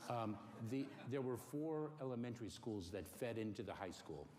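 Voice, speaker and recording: A middle-aged man born in Cuba, giving careful, halting interview answers with many pauses and fillers. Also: mid-range voice, expressive, darkish tone. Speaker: interview guest. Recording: faint noise in a long-reverb room.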